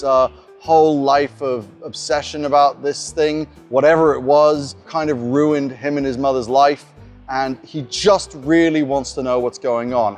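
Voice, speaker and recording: Higher-voiced man over music, explaining casually. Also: a little mellow. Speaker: game master giving scenario tips.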